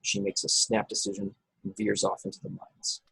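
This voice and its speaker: A man narrates stage directions for an action-packed script in a husky, fairly low voice. The sound is close and dry, as he reads the scenes out at a remote table read. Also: monotone, moderate pace, bright, forceful tone, dry recording, rough recording. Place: indoors, remote video call table read.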